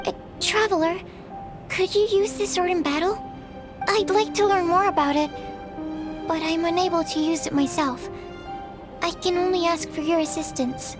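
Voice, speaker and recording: Very high-voiced earnest young alchemist. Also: female voice, moderate pace, long pauses, music in the background. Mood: admiring, curious. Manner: earnest, precise, formal, easily flustered. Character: polite, earnest, shy young female alchemist.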